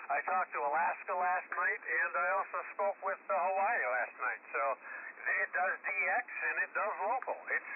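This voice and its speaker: Halting ham radio man, thin band-limited sound. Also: high-pitched. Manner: halting, stammering with repeated syllables. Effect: shortwave single-sideband radio. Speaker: amateur radio operator. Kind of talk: casual two-way radio chat. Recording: narrow phone-like radio band, faint static. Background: faint radio static.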